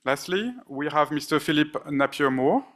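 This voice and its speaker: A man's voice: on the high side, expressive, moderate pace, fairly bright tone, slight background noise. A man moderating a conference panel, reading out speaker introductions with frequent fillers and restarts. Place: conference stage.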